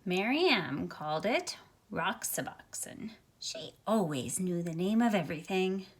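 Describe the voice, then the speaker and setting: Woman, middle-aged, picture book read-aloud, animated intonation, slow measured phrasing, phone recording at home. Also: on the low side, breathy edge. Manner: expressive, measured storytelling with drawn-out phrases and softened asides. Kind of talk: reading a picture book aloud with asides to young listeners. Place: small carpeted room at home, indoors. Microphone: phone at arm's length.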